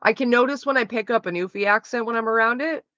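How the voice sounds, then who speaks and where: Bright-voiced, profane Canadian woman streaming. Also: a little high, fast talker, dry recording, highs cut off. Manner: rambling and profane, loose with frequent fillers. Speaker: livestreamer. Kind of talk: casual livestream chat with viewers.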